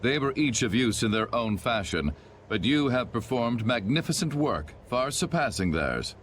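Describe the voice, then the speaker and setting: An actor playing a mocking, florid villain with theatrical courtesy in a game. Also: male voice, medium pitch, big pitch swings, moderate pace, fairly bright tone, a little hiss or hum. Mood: mocking praise. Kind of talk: English game dub acting.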